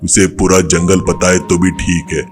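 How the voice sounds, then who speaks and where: A man's voice: low voice, little pitch movement, moderate pace, faint music behind, natural voice. A man voicing a stern, determined police inspector, speaking emphatically and resolutely.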